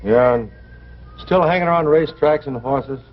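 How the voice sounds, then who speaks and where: An actor playing a regretful middle-aged racetrack man, narrating his youth in a folksy, rambling, halting way in a 1950s television drama. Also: medium pitch, natural voice. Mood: rueful. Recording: dull old TV soundtrack, noisy.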